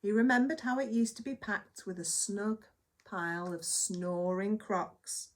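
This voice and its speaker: A woman reading a children's story aloud as a nursery teacher, with dramatic emphasis and hushed moments. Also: mid-range voice, a little slow.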